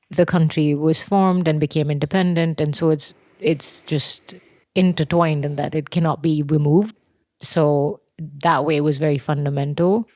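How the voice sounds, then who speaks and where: A woman's voice: deep voice, warm tone, no echo, clean studio sound. A woman from India talking in a podcast discussion, reflective and searching for her words. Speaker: podcast co-host. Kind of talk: casual discussion.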